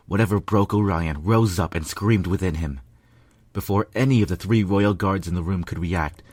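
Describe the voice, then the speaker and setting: A man's voice: sits low, lightly acted. A man narrating a fan fiction story as a lovelorn pony royal guard, grave and dramatic. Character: male pony royal guard telling his lovelorn tale. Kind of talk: scripted first-person story narration. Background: music.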